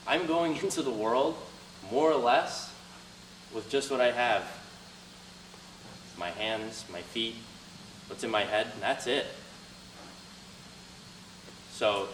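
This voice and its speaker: A young man speaking to students as a guest speaker, earnest and motivational, answering questions. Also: medium pitch. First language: Albanian. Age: young adult. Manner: earnest, motivational, loud at the start. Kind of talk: talk to students with Q&A. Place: classroom. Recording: roomy, from a distance, faint noise.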